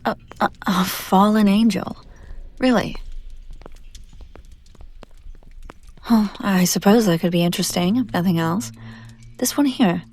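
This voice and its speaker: A woman playing a haughty, wealthy demon buyer in a scripted audio roleplay, condescending and teasing with drawn-out theatrical delivery. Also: medium pitch, a little mellow, close to an everyday voice. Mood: intrigued, wry.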